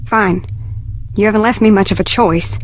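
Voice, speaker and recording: A woman's voice: mid-range voice, fairly even intonation, normal speed, slight background noise, lightly acted. An actress voicing an anxious, exasperated young woman in hiding in a video game, guarded and pleading. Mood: wary.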